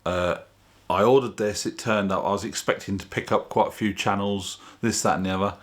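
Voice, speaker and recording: A man's voice: fairly low pitch, steady tone, brisk. A man presenting his own video in a chatty, informal, plain-spoken way, explaining things casually to camera. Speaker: video channel host.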